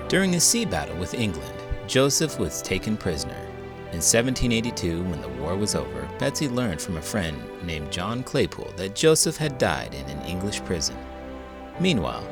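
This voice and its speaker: Male American storybook narrator, deep register, lively intonation, music underneath. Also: creaky. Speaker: host reading a children's picture book aloud. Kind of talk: storybook read-aloud narration.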